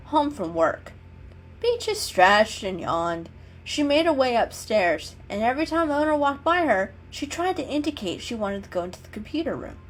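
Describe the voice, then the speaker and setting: A woman storyteller giving an expressive, unhurried dramatic reading of an animal story. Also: medium pitch.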